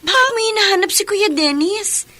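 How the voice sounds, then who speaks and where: An actress playing an anxious, concerned younger sister in a melodramatic radio drama, urgent and pleading. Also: female voice, very high-pitched, animated, normal speed, sharp tone, slight background noise, heavily acted. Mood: alarmed, worried.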